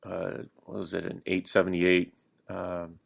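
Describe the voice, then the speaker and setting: Low creaky-voiced man, halting casual talk. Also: completely flat delivery. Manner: halting, pausing often mid-sentence. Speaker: podcast guest, radio hobbyist. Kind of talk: unscripted casual chat.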